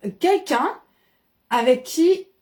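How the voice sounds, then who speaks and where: A woman from the Paris region, explaining ideas in casual, animated conversation with frequent restarts. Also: high-pitched, slow pace. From Paris region, France. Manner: animated, many restarts and fillers.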